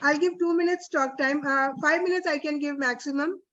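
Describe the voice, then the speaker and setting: Indian female workshop trainer, high voice, motivational and emphatic, muffled online-call sound. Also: fairly even intonation, brisk, a bit bright. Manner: encouraging, emphatic with repeated phrases. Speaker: guest trainer leading an online teacher workshop. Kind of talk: informal motivational closing talk to trainees. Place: online video session. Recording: dull, lo-fi online call audio.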